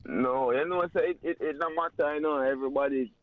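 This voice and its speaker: A man with a Jamaican accent calling in as a comedian guest, talking in a relaxed, easygoing way. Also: a little high, fairly quick, no echo. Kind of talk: casual radio phone chat. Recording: tinny phone line, dry.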